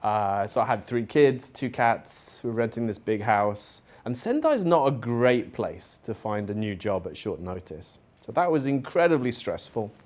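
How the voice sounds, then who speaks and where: A man's voice: on the low side, big pitch swings, normal speed, few pauses, a little mellow. A man in his forties, raised in Spain, presenting at a conference in a casual, chatty way with frequent fillers and asides. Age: 40s. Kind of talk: conference talk. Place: conference venue.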